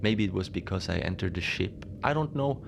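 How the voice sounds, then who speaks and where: A man playing a lonely, unbothered polar station keeper, telling strange tales in a halting, understated, conversational way for a fiction podcast. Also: sits low, creaky, full character voice. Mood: wistful, musing. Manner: halting, conversational, understated storytelling with small hesitations. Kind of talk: cozy horror audio fiction monologue. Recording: steady noise behind the voice.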